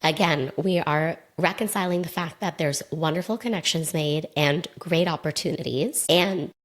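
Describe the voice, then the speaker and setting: Thirtysomething woman doing a solo podcast, low voice, bit raspy and hoarse like a mild sore throat, chatty and candid. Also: moderate pace. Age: 30s. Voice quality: slightly raspy. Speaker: podcast host. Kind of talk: casual solo podcast monologue.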